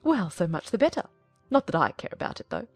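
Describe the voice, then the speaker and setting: A woman voicing a fretful, excitable middle-aged mother in a dramatized audiobook, gushing and plaintive. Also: fairly low pitch, quick pace, dry recording, faint music behind. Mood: flustered, excited. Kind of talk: dramatized audiobook character acting.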